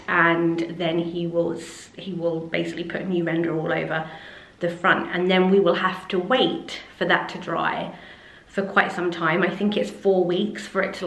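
A woman in her thirties talks casually to a camera held close, rambling through her thoughts with plenty of fillers. Her voice sits a little low. The kitchen around her leaves an echoey ring on the sound. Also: creaky, a bit bright. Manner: chatty, rambling, many fillers. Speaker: home renovation vlogger. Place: large indoor kitchen. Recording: echoey room.

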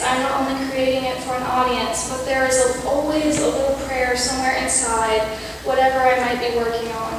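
A young woman with a fairly high voice gives a prepared reflection from a church pulpit. She sounds earnest and measured, with a few self-deprecating asides. The long reverb of a big sanctuary and a steady room hum surround her. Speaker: youth speaker. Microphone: pulpit mic, camera far off. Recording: echoey church hall from far off.

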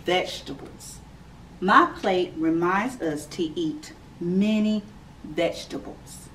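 A woman in her thirties, a preschool teacher, reading a picture book aloud to young children in a slow, clear, sing-song storytime manner from her classroom. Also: fairly low pitch, a bit bright. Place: classroom indoors. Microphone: camera a few feet away. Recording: slightly distant camera mic with faint room noise.

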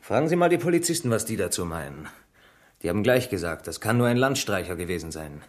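Touchy, low-voiced villager. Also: male voice, big pitch swings, quick pace. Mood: angry. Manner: curt, defensive. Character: defensive, touchy village man, quick to anger. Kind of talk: German radio crime drama acting.

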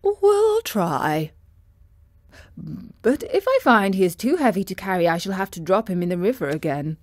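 A woman voicing a kindly, helpful mother stork in a storybook, with a sharp, partly whispered delivery. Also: mid-range voice, big pitch swings, normal speed, no echo, clean studio sound, lightly acted. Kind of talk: storybook character voice.

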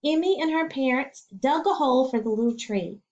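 A middle-aged woman teacher reading a children's picture book aloud at home, slow and expressive, pausing to ask her listeners questions. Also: high-pitched. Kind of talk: children's storybook read-aloud. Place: home living room. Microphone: webcam screen recording. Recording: dull lo-fi webcam audio.

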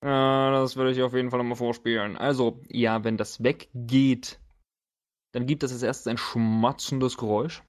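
A man acting as game master, narrating and bantering casually around a tabletop game with halting, hesitant phrasing. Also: mid-range voice, level tone.

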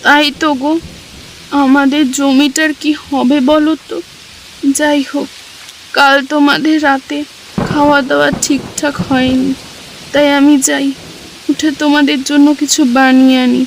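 A woman playing a gentle, anxious, ailing village wife and mother in a Bengali cartoon story. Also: high-pitched, level tone, a little slow, gravelly, long pauses, a little hiss or hum, low-quality recording. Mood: worried.

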